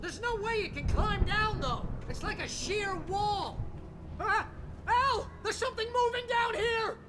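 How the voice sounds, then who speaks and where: A male actor playing an excitable, loyal, wisecracking New Yorker sidekick in a video game, with animated, exasperated bluster. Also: extremely high pitch, a little slow, background noise. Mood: loud bravado. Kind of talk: comic-relief video game dialogue.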